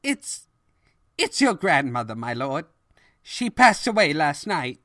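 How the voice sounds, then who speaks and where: A woman's voice: low voice, sing-song, slow, a bit bright, no room sound, studio quality, exaggerated voice. A woman voicing an anxious, deferential servant of an evil king, hesitant and formal, in a fan fiction audio drama. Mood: sorrowful, hesitant.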